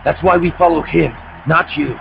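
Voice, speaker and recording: A man's voice: on the high side, steady tone, moderate pace, gravelly, noisy background. An actor playing a bitter, defiant male Soviet soldier, speaking in impassioned speeches in a video game cutscene. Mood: defiant, fervent. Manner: impassioned, declamatory. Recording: dull lo-fi game capture. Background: loud music.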